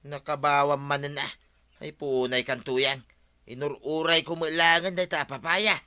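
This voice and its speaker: An exasperated village husband in an Ilocano drama. His voice is fairly high and sharp, with an Ilocano accent and a nagging manner. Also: fairly even intonation, a little slow, clear, resonant tone. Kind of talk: Ilocano audio drama acting.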